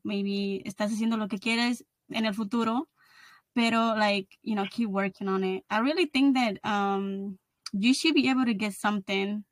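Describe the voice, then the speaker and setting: A woman's voice: mid-range voice, dry recording. Female podcast host, 30s, mid-range voice, informal, code-switches between Spanish and English, dry acoustic. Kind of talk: casual conversation.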